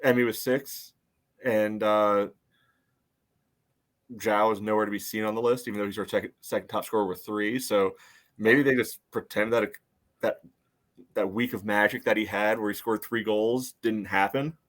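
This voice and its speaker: A man's voice: fairly low pitch, steady tone, moderate pace, a bit bright. A man co-hosting a sports podcast in casual conversation.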